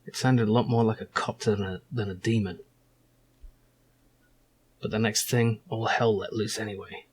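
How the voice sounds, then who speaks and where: A man's voice: fairly low pitch, fairly even intonation, normal speed, pauses often, slightly rough. A man narrating as a young male survivor telling his own story in a wry, reflective storybook style.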